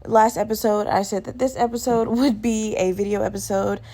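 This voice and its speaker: Gravelly just-woken woman podcaster. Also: medium pitch, steady tone, normal speed, dark tone. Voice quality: slightly gravelly morning voice. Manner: rambling, candid, self-deprecating, swears casually. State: just woke up. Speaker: podcast host. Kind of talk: casual solo podcast chat.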